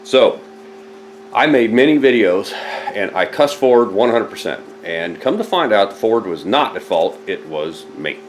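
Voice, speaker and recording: A man's voice: fairly low pitch, sing-song, a little slow, a little hiss or hum, low-quality recording. A man hosting a car rebuild video, talking casually and rambling, correcting himself as he goes and sounding stuffed up from a head cold. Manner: rambling, self-correcting, talks while hunting through boxes. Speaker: home mechanic video host. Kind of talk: casual garage vlog.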